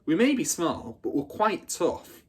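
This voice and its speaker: A man's voice: a little high, sing-song, measured pace, breathy edge, voice pushed up, exaggerated voice. A man voicing small, eager schoolgirls in a storybook, breathless with wonder and awe. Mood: awed, eager. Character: small, eager, awestruck young schoolgirls begging for stories.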